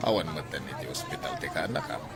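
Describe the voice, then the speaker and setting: A man's voice: extremely low pitch, expressive, fast, over music. An actor playing a frustrated, broke male doctor in a radio drama. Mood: exasperated. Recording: busy street noise and crowd.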